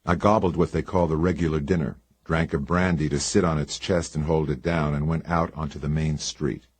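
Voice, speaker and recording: Man, first-person detective narration, laconic, wry, very low voice, dry sound. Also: fairly even intonation, brisk, slightly rough, dry recording. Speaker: audiobook narrator.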